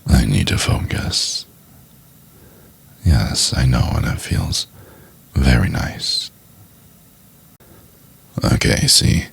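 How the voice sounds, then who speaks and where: A man playing a doting, teasing boyfriend in an intimate ASMR roleplay, murmuring in a husky, halting half-whisper. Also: a bass voice, exaggerated voice. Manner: slow, halting, murmured delivery with pauses. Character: doting, teasing boyfriend trying to study for exam.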